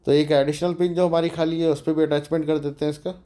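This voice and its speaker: A man's voice: on the high side, little pitch movement, quick pace, mellow. A man giving a casual, step-by-step hands-on tutorial, explaining informally and mixing in English technical words. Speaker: DIY electronics tutorial host.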